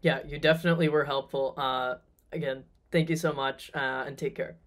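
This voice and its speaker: Podcast host, man, mid pitch, easy-going wrap-up tone. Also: fairly even intonation, normal speed, a bit bright. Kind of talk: interview wrap-up.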